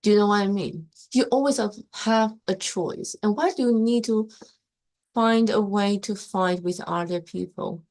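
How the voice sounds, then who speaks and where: A woman's voice: mid-range voice, normal speed, darkish tone. A young woman who grew up in Taiwan, hosting a casual live stream and giving her opinions emphatically in loose English with many restarts.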